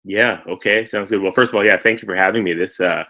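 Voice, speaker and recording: Man, very low voice, canadian, relaxed storyteller, lots of ums. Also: quick pace. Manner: relaxed storytelling with many fillers. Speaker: guest. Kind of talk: casual interview.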